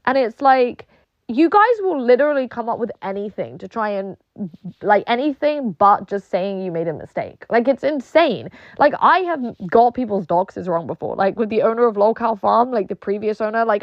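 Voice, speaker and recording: A woman's voice: a little high, very animated, normal speed, no room sound, muffled recording. A young woman with a British accent ranting casually, swearing a lot and filling her speech with "like", mimicking people she argues with. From not British; moved to England. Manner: swears often, many "like" fillers, mocking quoted voices.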